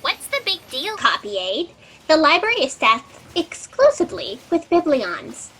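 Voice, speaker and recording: A woman's voice: high voice, big pitch swings, a little slow, fairly bright tone, exaggerated voice. A woman playing a flustered, snippy fact checker in a sci-fi satire, with a fussy manner. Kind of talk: sci-fi satire audio drama. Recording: slightly noisy video-call audio.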